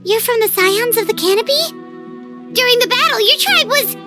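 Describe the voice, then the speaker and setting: Squeaky little fairy sidekick from a game, super high, earnest, slow-ish, loud music behind. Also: female voice, lively intonation. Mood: puzzled. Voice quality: squeaky, childlike. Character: tiny childlike fairy companion, earnest and excitable. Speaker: voice actor in a game. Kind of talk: scripted game cutscene acting.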